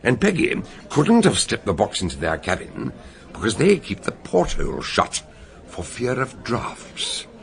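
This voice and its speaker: An actor playing a genial, chuckling detective who also narrates a radio drama, with a gravelly, husky voice. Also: male voice, fairly low pitch, wildly swooping pitch, a little slow, heavily acted. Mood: amused. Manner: genial, chuckling, fond of long words. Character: Dr Gideon Fell, jovial older male detective narrator.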